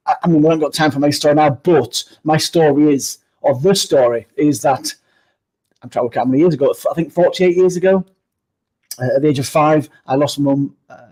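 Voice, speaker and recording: Husky Yorkshire man in his fifties. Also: on the high side, a little mellow, poor audio. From Yorkshire, England. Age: 50s. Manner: down-to-earth, reflective. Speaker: keynote speaker, guest. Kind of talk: interview.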